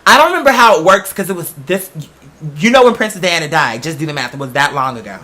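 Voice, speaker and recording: Guy podcast host w a high bright voice, chatty storytelling, second-guesses himself out loud. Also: animated, fast. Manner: chatty, emphatic, self-questioning asides. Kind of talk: casual personal storytelling monologue.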